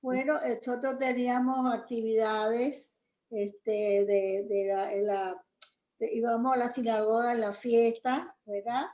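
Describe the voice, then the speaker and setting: A woman's voice: a little high, fairly even intonation, slow pace, mellow, roomy sound, rough recording. Chatty older Cuban woman. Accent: Cuban Spanish. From Cuba. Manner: chatty, rambling with fillers. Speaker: grandparent being interviewed. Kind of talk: recounting memories.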